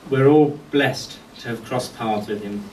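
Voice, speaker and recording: Male eulogy speaker, anecdotal and measured with deliberate pauses; mid-pitched, recorded from afar in a noisy, echoing room. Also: a little mellow. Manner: storytelling in short phrases with deliberate pauses. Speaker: friend giving a memorial tribute. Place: golf club function venue at a memorial service. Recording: echoey distant mic with background noise.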